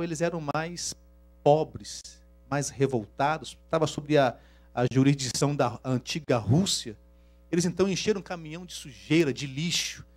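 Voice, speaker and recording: Slightly high-voiced preacher with Minas accent. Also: male voice. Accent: Minas Gerais Portuguese. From Rio de Janeiro, Brazil. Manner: shifts from hushed asides to loud, slow emphatic scripture reading. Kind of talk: sermon to a congregation.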